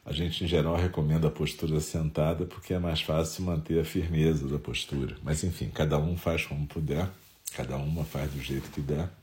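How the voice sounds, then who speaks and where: A Brazilian man with a nasal, stuffy voice from a head cold, calmly leading a Zen meditation talk. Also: extremely low pitch, fairly quick. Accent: Brazilian Portuguese.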